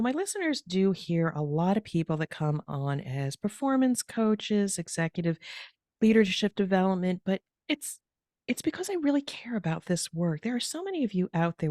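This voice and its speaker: A woman hosting a podcast in a lowish voice, sounding upbeat and putting stress on key words. The recording is dry and close, with no room echo. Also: a little mellow. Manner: upbeat, emphatic host delivery. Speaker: podcast host. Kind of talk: podcast host introduction and interview.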